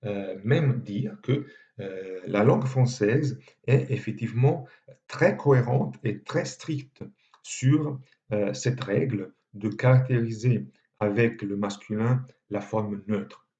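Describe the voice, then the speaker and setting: A man with an Italian accent, a native Italian speaker giving a measured university lecture with frequent hesitations. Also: on the low side, dark tone, lo-fi sound. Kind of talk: recorded lecture.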